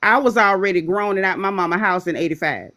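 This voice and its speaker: A man's voice: high-pitched, quick pace, bright, forceful tone. An older man from the American South telling a blunt, emphatic story in casual chat.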